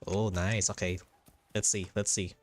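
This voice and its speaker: A man's voice: low-pitched, flat delivery, a little slow. A man doing casual, chatty live gameplay commentary, often repeating himself. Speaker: game streamer. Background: soft game music.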